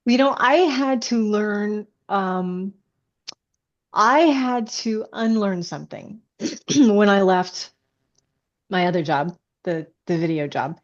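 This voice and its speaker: A woman speaking as a guest author in a casual interview, explaining things in a halting, reflective way. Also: mid-range voice, dull audio.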